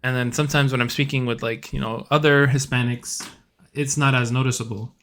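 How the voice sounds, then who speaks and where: A man's voice: mid-range voice, steady tone, a little fast, a bit bright. A man co-hosting a casual podcast chat, laid-back and rambling, with a faint Puerto Rican accent and a habit of swearing. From United States. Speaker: podcast co-host.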